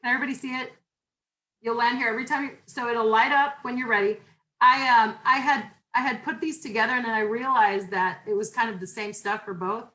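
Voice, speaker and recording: A loud-voiced woman leads an online class over a video call. She talks in a chatty, informal way, rambling a little and restarting her sentences as she goes. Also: fairly high pitch, steady tone, brisk, low-quality recording. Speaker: university instructor. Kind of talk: online class welcome and syllabus talk.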